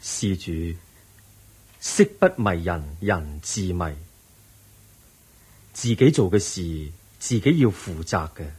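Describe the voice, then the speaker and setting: Slow, very husky, serene Buddha. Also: male voice, low-pitched, sing-song, a little mellow, exaggerated voice. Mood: solemn encouragement. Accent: Hong Kong Cantonese. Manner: measured, solemn, instructive. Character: serene, wise male Buddha, compassionate and firm. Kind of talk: Cantonese radio drama acting.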